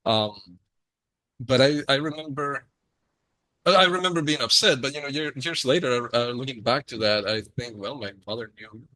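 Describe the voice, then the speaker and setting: Man from Chile, podcast guest, bright mid voice, chatty with filler words, dry close mic. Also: mid-range voice, normal speed, no echo, low-quality recording. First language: Spanish. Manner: friendly, frequent ums and uhs. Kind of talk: casual interview storytelling.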